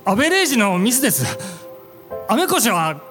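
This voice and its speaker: Average salaryman boss, tearful and apologetic, high for a man, wavering widely, music behind. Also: wildly swooping pitch, normal speed, sharp tone, exaggerated voice. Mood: tearful apology. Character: humble, emotional male team leader, average salaryman. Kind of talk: radio drama acting before a live audience.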